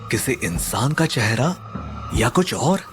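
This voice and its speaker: A man's voice: mid-range voice, big pitch swings, slow pace. A man playing a nervous, jumpy young bookworm in a horror audio story. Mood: curious. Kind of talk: acted dialogue in a Hindi horror audio story. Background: music.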